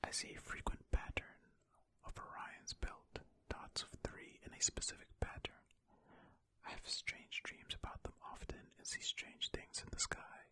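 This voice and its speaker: Bright, breathy whispering ASMR storyteller. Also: unhurried. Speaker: host of an ASMR storytelling channel. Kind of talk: ear-to-ear whispered ASMR, reading a story aloud with casual commentary. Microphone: close stereo ASMR microphone.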